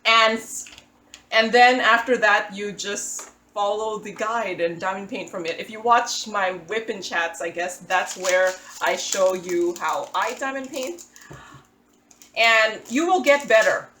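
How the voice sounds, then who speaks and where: A woman's voice: mid-range voice, measured pace, pushed and bright, roomy sound, slight background noise. A woman from the Philippines chatting casually as she talks through her craft setup, self-deprecating with small restarts. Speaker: crafting channel host. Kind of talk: casual voice-over chat while working on a craft. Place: indoors at a table.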